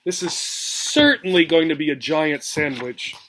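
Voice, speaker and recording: A man's voice: fairly high pitch, very animated, unhurried, fairly bright tone, slight background noise, poor audio. A man reading a rhyming storybook aloud as a teacher, adding simple explanations and checking that learners follow. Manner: checks understanding with "right?".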